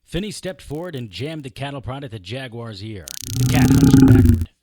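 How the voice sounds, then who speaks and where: An actor playing a wry, unflappable private investigator in an audio drama, narrating and talking in a deadpan, sardonic way. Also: male voice, mid-range voice, normal speed, creaky, no room sound, low-quality recording. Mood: grim narration. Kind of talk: hard-boiled detective audio drama acting with first-person narration.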